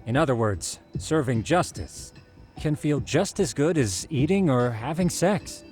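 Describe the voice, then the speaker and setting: An actor playing a precise, lecturing detective who explains facts formally in a video game. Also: male voice, mid-range voice, expressive, unhurried, a little mellow, soft background music, lightly acted.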